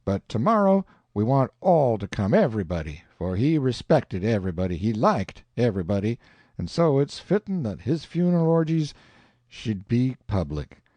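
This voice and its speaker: A man voicing a pompous, long-winded older swindler who drawls slowly and grandly. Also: medium pitch, very animated, dark tone, no room sound. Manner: pompous, slow, self-satisfied.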